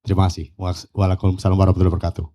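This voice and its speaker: A man's voice: low voice, monotone, rapid-fire, dark tone. A male journalist politely asking long questions at a press conference, hesitating with fillers.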